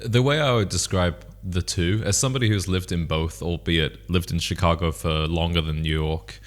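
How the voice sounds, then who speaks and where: Deep-voiced man hosting a conversational podcast. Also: fairly even intonation, normal speed. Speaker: podcast host. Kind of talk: conversational interview.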